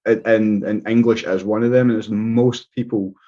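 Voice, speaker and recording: A man's voice: lowish voice, fairly even intonation, normal speed, mellow. A man from Scotland with a Scottish accent, a guest talking casually in an interview, rambling with many restarts and fillers. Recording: dull lo-fi sound.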